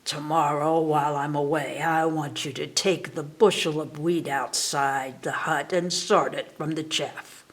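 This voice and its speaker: A woman's voice: low voice, fairly even intonation, a little slow, hardly any pauses. A woman reading aloud, voicing a sly, menacing old witch who barks threats and orders. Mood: commanding. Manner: commanding, threatening.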